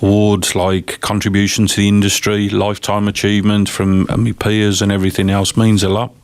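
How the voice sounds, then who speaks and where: Fields: Sex: male. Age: 50s. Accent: Northern Irish lilt with West Midlands. Pitch: low. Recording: dry. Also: completely flat delivery, brisk, no echo. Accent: Northern Irish lilt, years in the West Midlands. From Northern Ireland. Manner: plain-spoken, rambling, frequent ums and uhs. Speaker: company CEO, interview guest. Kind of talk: casual radio interview answers.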